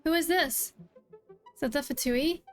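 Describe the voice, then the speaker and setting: A woman streaming a video game, reacting casually and excitedly with frequent exclamations. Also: high-pitched, measured pace. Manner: excitable, with repeated exclamations and gasps. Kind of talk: casual gameplay reaction commentary. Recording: game music faintly behind voice. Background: video game music.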